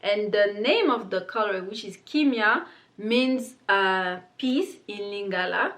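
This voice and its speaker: A woman's voice: medium pitch, slow pace. Chatty woman talking to camera. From Congo. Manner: chatty and warm, many fillers like "like" and "um". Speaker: beauty brand owner presenting her palette. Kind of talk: casual explanatory talk to camera. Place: indoor studio with plain pink backdrop.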